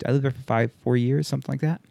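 A man's voice: medium pitch, fairly even intonation, rapid-fire, gravelly, dark tone, no echo. A man in his thirties hosting a podcast, telling stories in a loose, profane, casual way and acting out quotes. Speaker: podcast host. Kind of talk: casual chat.

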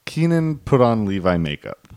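Low-voiced man hosting a comedy podcast. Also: big pitch swings, normal speed, darkish tone, no echo, studio quality. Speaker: podcast host.

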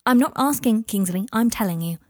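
Woman doing a blunt, protective man, husky. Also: on the high side, animated, a little fast, no room sound, studio quality. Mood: defiant. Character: blunt, combative, protective adult male wizard. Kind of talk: audiobook character voice.

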